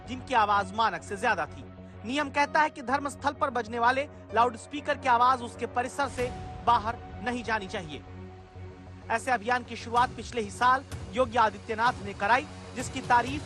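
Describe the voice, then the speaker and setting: A woman presenting a dramatic, scripted news report. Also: on the high side. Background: loud music bed.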